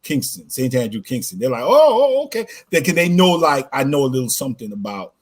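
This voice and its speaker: A man's voice: mid-range voice, big pitch swings, a little fast. A man born in Jamaica and raised in the US, talking loosely in a casual interview with lots of "you know" and "right?". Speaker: podcast co-host being interviewed.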